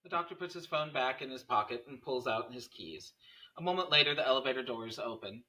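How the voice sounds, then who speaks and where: A man's voice: medium pitch, lively intonation, a little fast, crowd noise, poor audio. A man reading scene headings and stage directions as narrator at a script table read.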